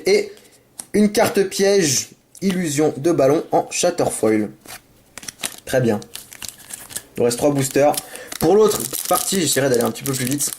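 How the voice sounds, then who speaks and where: A man with a sore throat from a cold talks his way through opening card packs. He rambles and apologizes, then gets excited about the cards, in a casual, home-made commentary. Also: a little high, a little hiss or hum. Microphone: camera mic.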